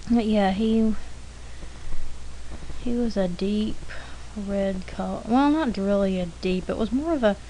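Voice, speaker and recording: A woman talks through an art demo in a slow, casual, unscripted way. Her storytelling rambles and halts as she corrects herself, at a middle pitch. The homemade recording has noticeable noise behind her. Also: warm tone. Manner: rambling, halting, self-correcting. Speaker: artist narrating a drawing demonstration. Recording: clearly noisy homemade recording.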